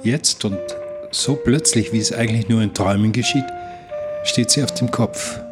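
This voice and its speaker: A man's voice: medium pitch, normal speed, music in the background, natural voice. A man acting as a reflective, wistful traveller who narrates a literary radio play in the first person. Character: reflective wistful traveller working aboard a cargo ship. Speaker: narrator and protagonist.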